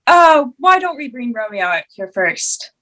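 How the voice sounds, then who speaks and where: A woman's voice: high voice, sing-song, measured pace, fairly bright tone, full character voice. A woman in a comedic play reading, playing a gracious but nagging mother with a formal, theatrical delivery.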